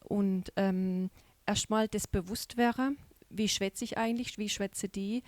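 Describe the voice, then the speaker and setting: A woman's voice: mid-range voice, steady tone, measured pace, darkish tone, no room sound. A middle-aged woman from Baden with an Alemannic Baden German accent, chatting as a guest in a studio interview with lots of filler sounds. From Baden, southwest Germany. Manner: chatty, many "ähm" fillers. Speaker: guest, dialect society chair. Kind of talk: relaxed interview chat.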